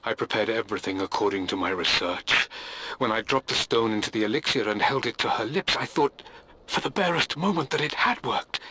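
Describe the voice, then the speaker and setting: An actor playing a grieving, obsessed widower of middle age, delivering a solemn journal monologue for a game. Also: male voice, mid-range voice, sharp tone, rough recording. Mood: anguished longing. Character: grieving, obsessive English lord mourning his dead wife.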